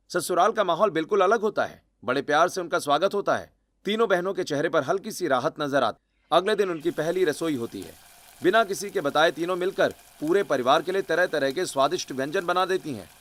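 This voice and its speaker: A man's voice: a little high, a bit bright. A man narrating a scripted cartoon family story.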